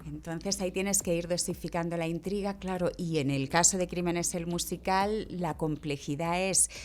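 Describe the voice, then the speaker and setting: A woman's voice: lowish voice, steady tone, moderate pace, a little mellow. A woman guest explaining her craft in an interview, thoughtful and given to posing questions. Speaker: podcast creator, interview guest.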